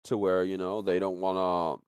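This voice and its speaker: A man co-hosting a casual livestream, talking in a halting way and repeating phrases as he thinks aloud. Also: deep voice, level tone, darkish tone, smooth, no echo. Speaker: co-host of a live show.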